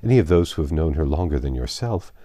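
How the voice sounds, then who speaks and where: A man voicing a composed, wry young gentlewoman in a storybook reading, measured and dryly disapproving. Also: a bass voice, expressive, no room sound. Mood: calm, cool. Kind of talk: audiobook character reading.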